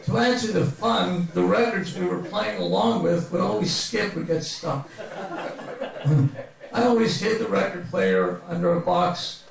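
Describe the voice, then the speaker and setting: Male author at a live reading, gravelly edge, comic mock-grandiose storytelling, recorded far from the mic in a reverberant space. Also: high voice, very animated, a little slow. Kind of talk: humorous memoir reading to a live audience. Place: bookstore reading event before an audience. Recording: very echoey room, distant mic.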